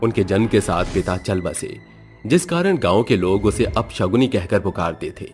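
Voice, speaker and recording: A man tells a cartoon horror story as narrator. His voice sits low. He narrates with dramatic steadiness. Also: animated, normal speed, darkish tone, soft background music.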